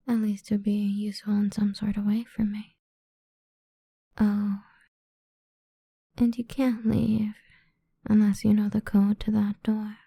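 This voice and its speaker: A woman playing a sadistic, domineering female boss in a whispered ASMR roleplay, taunting and condescending in a soft breathy voice. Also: mid-range voice, no room sound. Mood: mocking, degrading.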